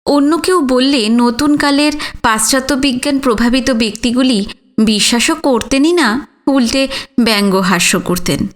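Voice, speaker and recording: A woman narrating a literary audio story in a measured, solemn manner with deliberate phrasing. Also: sits high.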